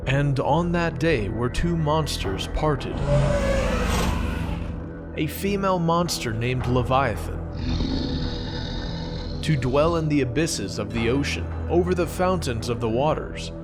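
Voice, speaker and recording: An ancient prophet played by a man recounts his visions in a solemn, reverent tone. His mid-range voice moves through archaic phrases in a measured way, with music clearly underneath, like a dramatized scripture recording. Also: mid-range voice, lively intonation. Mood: solemn, awed. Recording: music playing clearly behind narration.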